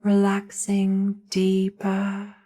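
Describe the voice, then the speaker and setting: A woman narrating a guided meditation in a soothing, hushed manner, leaving long pauses between short phrases. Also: medium pitch, monotone.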